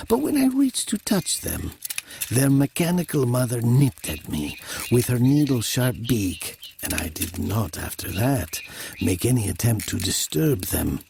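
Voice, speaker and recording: A man's voice: medium pitch, vocal fry, darkish tone, a little hiss or hum, exaggerated voice. An actor playing an elderly, wealthy Italian man who tells his tale in a formal, theatrical manner. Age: older. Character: elderly Italian man, wealthy former mayor, uneasy storyteller. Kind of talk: dramatic first-person storytelling.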